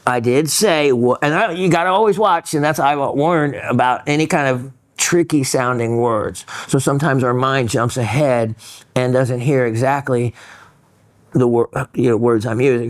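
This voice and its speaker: Male host, mid pitch, chatty, rambling, dry close sound. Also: dry recording. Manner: rambling, many fillers and restarts. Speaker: host reading viewer mail. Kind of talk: casual educational chat.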